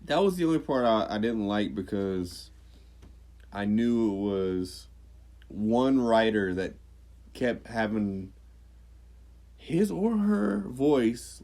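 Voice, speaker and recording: A man co-hosting a podcast, chatting casually, swearing freely and jumping into squeaky mock voices. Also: dark tone. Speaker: podcast co-host. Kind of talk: casual chat.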